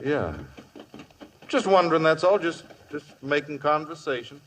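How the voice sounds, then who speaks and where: An actor playing a loyal, concerned cowboy sidekick in a radio Western, folksy, frank and easygoing. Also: male voice, fairly high pitch, normal speed, fairly bright tone. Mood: gently probing. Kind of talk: old-time radio Western acting. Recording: old radio broadcast with hiss.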